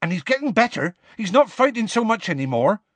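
A man's voice: high voice, very animated, fairly quick, fairly bright tone, no room sound, pitched above the performer's own voice, exaggerated voice. A man voicing a huge, tender-hearted half-giant man in an audiobook, anxious and earnest, speaking a rustic dialect. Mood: earnest, pleading.

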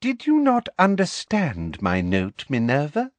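A man's voice: on the high side, wildly swooping pitch, measured pace, no echo, pitched above the performer's own voice, full character voice. A man voicing a falsely sweet, meddling woman official in an audiobook, honeyed, simpering and over-polite. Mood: politely needling.

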